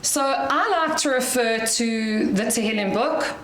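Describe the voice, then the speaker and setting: Woman, South African accent, loud voice, unhurried delivery, echoey hall. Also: a little high, slight background noise. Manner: loud voice, chatty with light laughs. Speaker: guest speaker at a community dinner. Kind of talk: inspirational talk to an audience.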